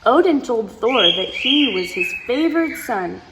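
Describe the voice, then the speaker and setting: A woman's voice: on the high side, animated, unhurried. A woman reading a storybook aloud to young children as a story time host, expressively, with exclamations and asides to her listeners. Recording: clear background noise.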